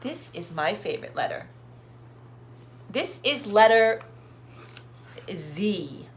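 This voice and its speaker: A woman's voice: mid-range voice, slight background noise. A woman hosting children's storytime, reading expressively to young listeners and addressing them directly. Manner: slow, expressive, sounds out words and asks listeners questions. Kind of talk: picture book read-aloud for young children.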